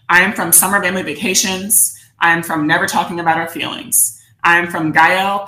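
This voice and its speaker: An American woman giving an instructional presentation in a deliberate, reflective manner, often posing questions to her listeners. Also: on the low side, pushed and bright. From United States, New Jersey suburbs. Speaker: workshop presenter, educator. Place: indoor room, virtual session. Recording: roomy echo on the voice.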